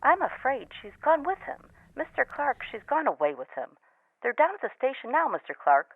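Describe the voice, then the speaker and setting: A woman's voice: high voice, big pitch swings, a little fast, no room sound, exaggerated voice. A woman acting a distraught, pleading older mother in a radio drama, heard through a telephone filter. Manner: pleading, fretful. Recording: tinny phone line, music.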